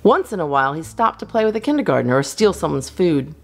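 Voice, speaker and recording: A woman reading a children's storybook aloud as a storyteller, in an expressive, animated style. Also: low-pitched, quick pace. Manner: expressive, acts out dialogue. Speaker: storyteller, channel host.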